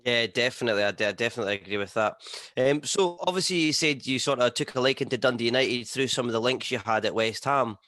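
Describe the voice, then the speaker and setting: A Scottish man presents a podcast and puts questions to a guest in an informal way. His voice sits at an average pitch, and the sound is dry with very little room. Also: fast, bright, forceful tone, no echo. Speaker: podcast host. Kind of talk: scripted intro and casual interview questions.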